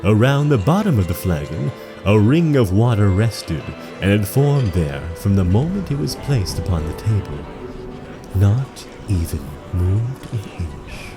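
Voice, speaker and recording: An actor playing a solemn storyteller, narrating a fantasy audio drama in a dramatic, literary manner. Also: male voice, on the low side, big pitch swings, unhurried, music in the background. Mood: suspenseful.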